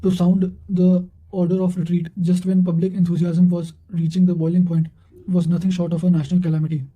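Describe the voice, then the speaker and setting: Male narrator, high pitch, even intonation, measured delivery, reading study notes aloud. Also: low-quality recording.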